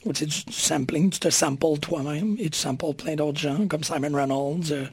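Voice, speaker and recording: Husky, gravelly Quebec French interviewer. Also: male voice, fairly high pitch, fairly even intonation, dry recording. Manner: enthusiastic, quick, fills in the guest's sentences. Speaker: interviewer, host. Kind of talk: casual interview chat.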